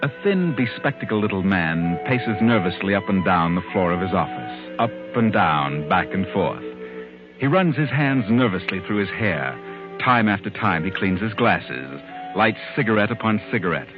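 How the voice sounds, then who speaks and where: An actor playing a sinister, mysterious storyteller, narrating a 1940s radio mystery in an ominous, deliberate manner. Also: male voice, sits low, animated. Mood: tense, ominous. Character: sinister, mysterious male storyteller who walks by night. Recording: narrow-band old radio recording with music. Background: music.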